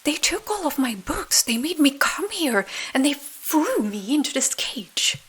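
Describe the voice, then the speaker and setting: A woman voicing a naive, excitable little puppet boy in a bedtime story, earnest and stammering. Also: high-pitched, unhurried, voice pushed up, exaggerated voice. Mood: flustered, fibbing.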